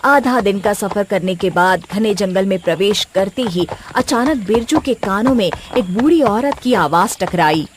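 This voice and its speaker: A woman's voice: medium pitch, lively intonation, measured pace, few pauses, noisy background. A woman reading scripted narration as the storyteller of a tale.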